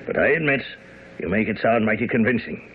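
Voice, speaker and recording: An actor playing a smug, stubborn police inspector in a blustering manner in a radio mystery drama. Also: male voice, fairly low pitch, fairly quick, slight background noise, lightly acted. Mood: grudging. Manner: blustering, self-satisfied. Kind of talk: old-time radio drama acting. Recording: muffled, narrow-band radio broadcast.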